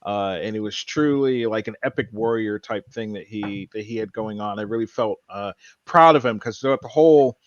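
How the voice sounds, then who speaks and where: A man co-hosting a podcast, casually recounting a show in an earnest, halting way. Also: fairly low pitch, dull audio. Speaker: podcast co-host.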